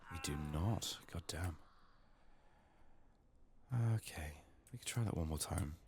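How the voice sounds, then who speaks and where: A man giving soft-spoken, partly whispered game playthrough commentary, murmuring his thoughts aloud in an unhurried, casual way, his voice strained from being sick. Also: low-pitched, a little hiss or hum. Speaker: gaming video host.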